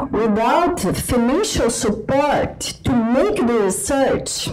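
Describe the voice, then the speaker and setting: A Brazilian woman, a native Portuguese speaker, reads her prepared conference talk very slowly and deliberately. Her voice sits a little high and earnest, with faint instrument music under it. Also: darkish tone, rough recording. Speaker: conference panelist, researcher. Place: conference hall.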